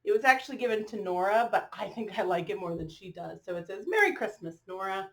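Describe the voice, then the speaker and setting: A woman reading a storybook aloud to young children, expressively drawing out phrases and pausing to ask them questions. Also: mid-range voice, expressive. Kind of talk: storybook read-aloud with asides to young listeners.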